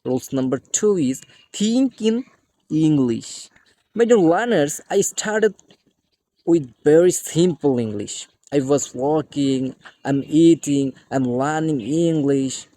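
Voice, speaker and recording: A man's voice: on the high side, expressive, slow, dark tone, no room sound. A man from Bangladesh with a Bengali accent and Bengali as his first language, giving a scripted English lesson and often calling out to his "dear friends". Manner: repeated "my dear friends" addresses. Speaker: English teacher.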